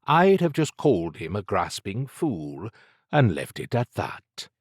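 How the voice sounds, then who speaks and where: A man's voice: on the low side, big pitch swings, unhurried, husky, no room sound, clean studio sound, full character voice. A man voicing a slim, androgynous occult schemer with an upper-class English accent and an arch, urbane, needling manner. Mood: arch amusement.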